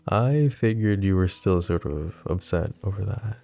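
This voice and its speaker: Brooding mafia boss: deep, slow, intimate and teasing. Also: male voice, animated, vocal fry, faint music behind, heavily acted. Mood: tender. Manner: soft-spoken, teasing, intimate. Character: brooding male mafia boss, wry and tender. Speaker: voice actor. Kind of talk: ASMR roleplay.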